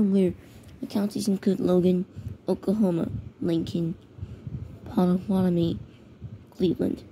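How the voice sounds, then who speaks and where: A woman with a soft-edged, dark and mellow voice reads out emergency-style announcements. Her delivery is halting and she trips over words. It is a homemade recording with some faint noise behind her. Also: on the low side, low-quality recording. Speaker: narrator of a homemade emergency alert scenario. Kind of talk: halting read-aloud of mock emergency bulletins and weather warnings.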